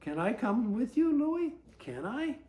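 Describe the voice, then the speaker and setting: A woman's voice: fairly high pitch, big pitch swings, unhurried, dark tone, pitched above the performer's own voice. A woman voicing an eager young girl from a children's storybook, keen and full of exclamation. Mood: pleading.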